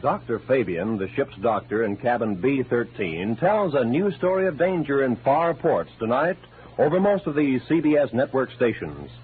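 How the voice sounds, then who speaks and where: An American man announcing in scripted 1940s radio style, formal and measured. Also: mid-range voice, lively intonation, background noise. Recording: muffled vintage radio broadcast, music behind.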